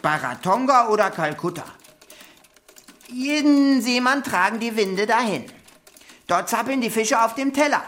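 A woman playing an earnest, naive little would-be seaman in a children's radio play, speaking deliberately. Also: a little high, expressive, fairly bright tone. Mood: eager, proud. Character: male would-be sailor Mr. Cuckoo, earnest, plucky, naive.

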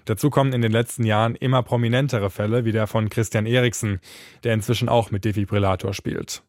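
A man's voice: on the low side, steady tone, quick pace, darkish tone, no room sound. A man narrating a scripted podcast as its host and reporter, speaking into a close microphone.

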